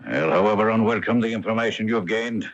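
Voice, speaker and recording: An actor playing a proud, troubled aristocratic Duke in a radio mystery, with a stately, formal and deliberate delivery. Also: male voice, fairly low pitch. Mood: guarded. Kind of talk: 1980s radio mystery acting. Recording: dull lo-fi AM radio recording.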